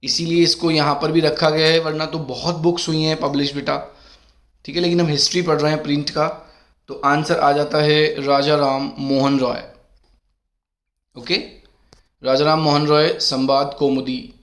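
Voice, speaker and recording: A middle-aged man teaches an exam-prep class, his voice sitting a touch higher than most men's. His throat is sore. He reads each question out slowly and warmly calls his students beta. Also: level tone. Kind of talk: exam-prep lesson in Hindi mixed with English.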